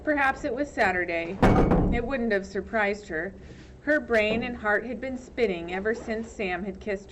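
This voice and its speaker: Slow, measured woman reading a story aloud. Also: mid-range voice, dull audio, slight background noise. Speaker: audiobook reader. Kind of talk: scripted storybook narration.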